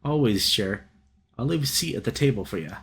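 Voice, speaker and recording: A man voicing a big, warm, fatherly bear who runs a restaurant, speaking in a folksy, affectionate way for a visual novel. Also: medium pitch, expressive, a little fast, breathy edge.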